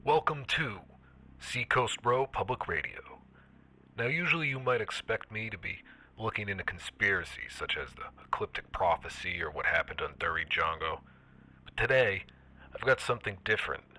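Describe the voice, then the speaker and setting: An actor playing a composed public radio investigative host, in a measured, polished presenter's delivery. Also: male voice, lowish voice, animated, pushed and bright, heavily acted, poor audio. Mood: composed, intriguing. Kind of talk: scripted public radio narration and interview. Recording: music behind the voice. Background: music.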